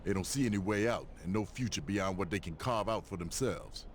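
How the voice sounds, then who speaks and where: A man's voice: sits low, fairly quick, slight background noise, close to an everyday voice. An actor voicing an earnest, streetwise male hero and neighborhood protector in a video game cutscene.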